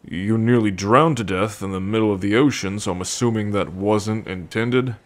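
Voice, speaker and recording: A man's voice: fairly low pitch, close to an everyday voice. A man playing a wary, curious merman in an ASMR roleplay, speaking hesitantly and full of questions to the listener. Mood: puzzled, suspicious. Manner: slow, hesitant, questioning delivery. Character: wary, curious young merman, gruff rescuer.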